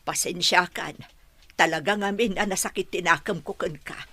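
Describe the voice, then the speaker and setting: A woman playing a bitterly disappointed, reproachful character in a radio drama, raspy and bright, with music behind her. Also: on the low side, moderate pace. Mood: aggrieved. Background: background music.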